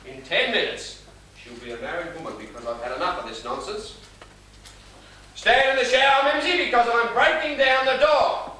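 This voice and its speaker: Casting: man playing a blustering, exasperated bride's father in stage farce, very high bright voice, melodramatic delivery. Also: animated, moderate pace, exaggerated voice. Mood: threatening, exasperated. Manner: loud, blustering, exasperated, theatrical. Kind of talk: live comic stage play acting. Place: live stage in a small theatre. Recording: echoey theatre stage, distant mic, faint noise.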